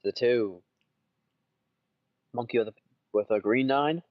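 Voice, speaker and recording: A man's voice: mid-range voice, slow pace, dry recording. A man giving casual colour commentary on a card game in short, often repeated remarks, on a dull lo-fi recording.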